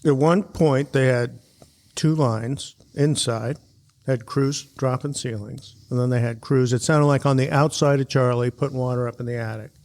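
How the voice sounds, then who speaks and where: A man's voice: medium pitch, fairly even intonation, normal speed, darkish tone. A man co-hosting a podcast, giving casual analysis in conversation. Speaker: podcast co-host.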